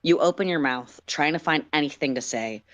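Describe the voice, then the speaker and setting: A woman's voice: low voice, fairly quick, fairly bright tone. A woman narrating a story dramatically in the second person, as a livestream reader.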